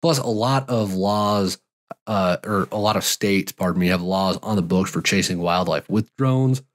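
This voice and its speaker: A man in his thirties hosting a solo podcast from a home studio, answering listener questions in a relaxed, rambling way, a little raspy from a cold. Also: low-pitched, moderate pace. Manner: relaxed, rambling, frequent uh and restarts. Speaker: podcast host and fly fishing guide. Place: home studio with gear shelves. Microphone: large studio mic, headphones on.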